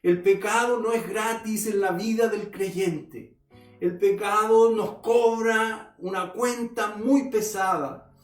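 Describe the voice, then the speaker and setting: Slow, high-voiced male pastor preaching. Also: steady tone, recorded from a distance, soft background music. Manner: earnest, addresses listeners directly, reads scripture aloud. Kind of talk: sermon. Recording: roomy echo.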